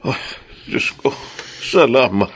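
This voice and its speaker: Elderly father, radio drama: humble, timid, emotional, mid-pitched delivery. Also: wildly swooping pitch, takes it slowly, breathy edge, full character voice. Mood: moved, grateful. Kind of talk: Tagalog radio drama acting.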